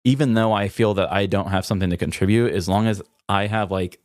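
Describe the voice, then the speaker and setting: A man's voice: on the low side, fairly even intonation, a little fast, dry recording. A man co-hosting a podcast in casual chat, musing in a rambling, thoughtful way and slipping in dry jokes. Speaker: podcast co-host.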